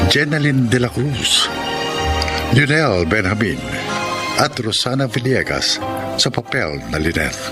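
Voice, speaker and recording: A man with a Filipino accent, narrating and announcing in a dramatic classic radio style. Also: medium pitch.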